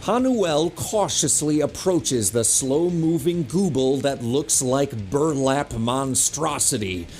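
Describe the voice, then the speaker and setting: A man's voice: mid-range voice, expressive, slow, hardly any pauses, darkish tone, soft background music. A man narrating a superhero audio drama in a dramatic, scripted style.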